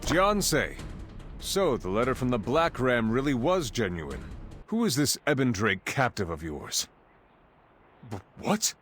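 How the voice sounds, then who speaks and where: A serious formal palace guard official in a game, medium voice, faint music behind. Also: male voice, medium pitch, big pitch swings, measured pace, a bit bright. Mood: impressed. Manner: formal, grave. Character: earnest, dutiful male palace official on guard. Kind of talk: video game cutscene voice acting.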